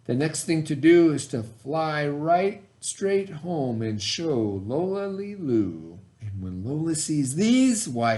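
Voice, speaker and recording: A man's voice: fairly high pitch, darkish tone. A man voicing a vain, boastful young female bird in a children's storybook, exclaiming with gleeful, gloating delight. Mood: giddy gloating.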